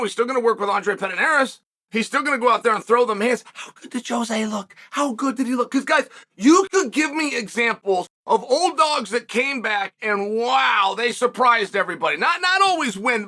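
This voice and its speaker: A man with a high, bright voice and a cutting edge to his tone. He hosts a show and talks through fights casually, brash and emphatic, hammering home each point by repeating it. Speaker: podcast host and MMA commentator.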